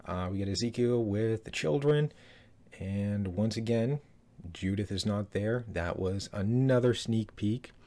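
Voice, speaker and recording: A man's voice: on the low side, a little slow, mellow. A man in his thirties giving casual, rambling commentary on a trailer from a small room, with lots of fillers and restarts. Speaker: YouTube trailer reviewer.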